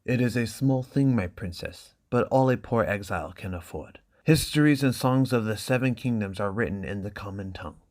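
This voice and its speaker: A man voicing an ingratiating older merchant with slow, grand courtesy in a dramatic fantasy reading. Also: fairly low pitch, a little mellow, natural voice. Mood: humbly flattering. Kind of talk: dramatic graphic novel reading.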